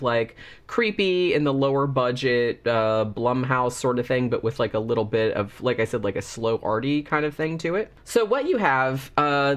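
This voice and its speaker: A man's voice: medium pitch, a bit bright. Man with a cold reviewing a horror movie. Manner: rambling and chatty, many fillers. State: has a cold, slightly congested. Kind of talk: casual spoken movie review.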